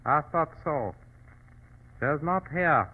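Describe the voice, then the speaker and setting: An actor playing a menacing but servile shopkeeper henchman in an old radio drama, speaking halting broken English. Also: on the high side, lively intonation. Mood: cold, menacing. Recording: tinny, band-limited old radio recording.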